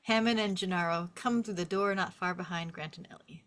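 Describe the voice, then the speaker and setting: A woman's voice: on the low side, fairly quick, fairly bright tone. A woman reading stage directions as a scripted narrator, in a vivid descriptive storytelling manner.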